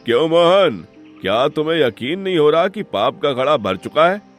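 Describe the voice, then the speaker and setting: A man's voice: a little high, very animated, moderate pace, soft background music. A man playing a righteous, fatherly village headman in a cartoon moral story, with a solemn, commanding, emphatic delivery. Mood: outraged, stern.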